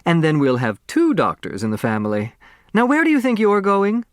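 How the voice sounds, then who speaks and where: A fussy, snobbish middle-aged mother, acted by a male narrator, pitched up and haughty. She sounds self-important, like a social climber in a storybook. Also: huge pitch swings, moderate pace, dry recording, clean studio sound. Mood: gushing, then scolding. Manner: haughty, scolding, gushing.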